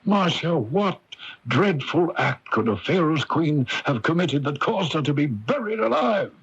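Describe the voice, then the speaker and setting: Casting: a driven, stubborn archaeologist husband in radio drama, rough husky tone, very animated delivery. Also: male voice, on the high side, unhurried, muffled recording, full character voice. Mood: fervent, driven. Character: stubborn, obsessive archaeologist husband, proud and impatient. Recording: hissy early-1980s AM radio broadcast.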